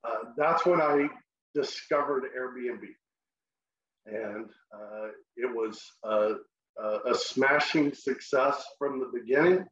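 A man's voice: mid-range voice, a bit bright, echoey room, dull audio. A middle-aged man with a Texan accent, a guest in a casual interview, friendly, often restarting his sentences. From Texas. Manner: friendly, halting with repeated restarts. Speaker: short-term rental host, guest.

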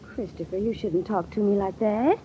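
An actress playing a sweet-seeming, treacherous young accomplice in an old radio drama, plaintive and self-justifying. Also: female voice, medium pitch, moderate pace, natural voice. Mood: plaintive, defensive. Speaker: radio drama femme fatale. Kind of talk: 1940s-50s radio drama acting. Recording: muffled, noisy old radio recording.

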